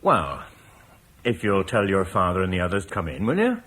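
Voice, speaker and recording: Shrewd commanding war leader, firm, businesslike, lively pitch, radio drama. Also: male voice, moderate pace, full character voice. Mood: stern bargaining. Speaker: military commander.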